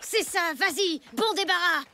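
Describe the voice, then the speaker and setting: An actor playing a plucky, excitable and loyal young boy in an animated cartoon, earnest and given to exclaiming. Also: very high voice, a little slow, slight background noise, exaggerated voice. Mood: annoyed. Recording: loud cartoon music behind the voice. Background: cartoon music.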